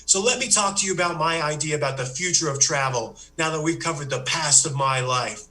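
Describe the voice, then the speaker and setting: A man voicing a rambling, self-pitying Australian man who gives a comic business pitch in a put-on Australian accent. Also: a little fast, sharp tone. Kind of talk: comedic monologue.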